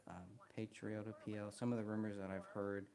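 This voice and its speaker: A soft-spoken man chatting casually on a live stream, hesitant, with many ums and restarts, close to the mic. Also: fairly low pitch, flat delivery, a little fast.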